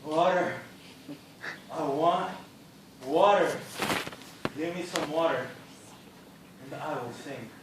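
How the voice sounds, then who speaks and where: Echoey, slow-talking actor as sullen sailor castaway. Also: male voice, fairly high pitch. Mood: parched, demanding. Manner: terse, drawn-out delivery. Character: sullen, terse, thirst-stricken male sailor castaway. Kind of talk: amateur stage play acting. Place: theatre stage, recorded from audience. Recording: echoey stage, distant, noisy.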